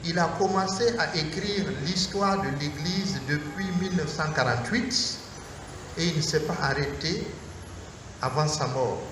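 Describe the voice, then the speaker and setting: Slow, high-voiced priest in echoey hall. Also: male voice. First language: Mooré. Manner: slow, deliberate, emphatic phrasing in short measured phrases. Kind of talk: Lenten lecture sermon. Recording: echoey hall from far off, faint noise.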